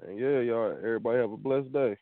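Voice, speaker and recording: Brief: laid-back male caller, mid pitch, tinny dry phone audio. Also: fast talker, dry recording. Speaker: caller, music promoter. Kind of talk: casual promo over phone.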